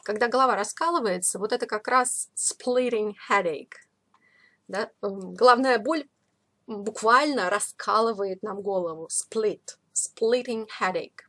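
A woman teaching a vocabulary lesson in an informal, explanatory way, repeating key words slowly for learners. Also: medium pitch, a bit bright. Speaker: English teacher. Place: voice-over of a screen recording.

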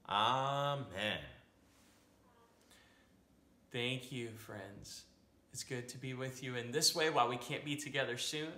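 A man's voice: mid-range voice, a little slow, sharp tone. A young American man speaking as a pastor giving a children's sermon, with simple, reassuring phrasing. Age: young adult. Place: small home room, indoors. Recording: echoey room, faint background noise.

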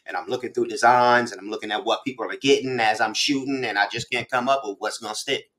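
Male host, middle-aged, American accent, mid-pitched voice; loose, halting, slangy interview chat over video call. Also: fairly even intonation, a bit bright. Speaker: interviewer, host of a hip hop show. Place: small room full of memorabilia, indoors, on a video call.

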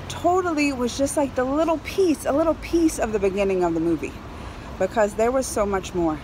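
A woman in her thirties chatting casually to camera as a movie reviewer, emphatic and excitable, repeating phrases for effect. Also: fairly high pitch, poor audio. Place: outdoors on a high balcony over a city. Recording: outdoor city noise, passing cars. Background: urban traffic ambience.

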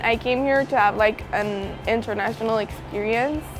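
A woman's voice: a little high, normal speed, music in the background, poor audio. A teenage girl from Mexico talking casually in an interview, with frequent fillers. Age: teens. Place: outdoors on campus. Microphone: lapel.

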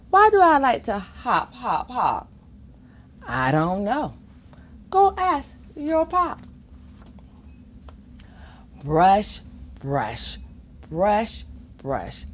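Middle aged lady reading a picture book out loud, super slow n sing-songy, bit of room noise behind her. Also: medium pitch. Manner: expressive sing-song delivery with playful asides. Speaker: volunteer children's storybook reader. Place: school or public library children's corner, indoors. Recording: faint room ambience noise.

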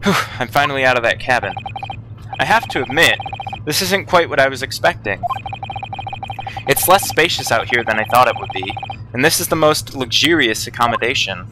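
A man's voice: medium pitch, animated, normal speed, background noise. A man hosting a casual let's play, reading dialogue aloud with wry commentary.

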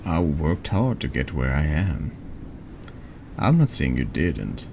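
A man with a very deep voice narrates a horror text adventure at an unhurried pace. His delivery is halting, reading out both narration and dialogue in an ASMR-like style, with some noise behind him. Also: sing-song, creaky. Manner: halting reading, stumbling over words. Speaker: streamer reading a text adventure game aloud. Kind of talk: slow narrated read-aloud of horror story dialogue, ASMR-like.

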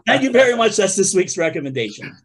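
A man's voice: high voice, very animated, fast, fairly bright tone. A man on a video call with bandmates, chatting casually and making jokey announcer-style asides. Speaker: band member hosting a video call show. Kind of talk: casual joking chat.

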